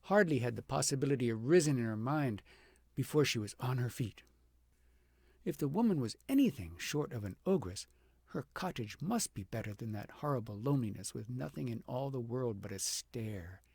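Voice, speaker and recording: A man narrating a fairy tale aloud as an audiobook, with dramatic, deliberate phrasing that swells for emphasis and drops to a hush. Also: mid-range voice, expressive, gravelly, slightly rough, no room sound. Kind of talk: expressive storybook narration.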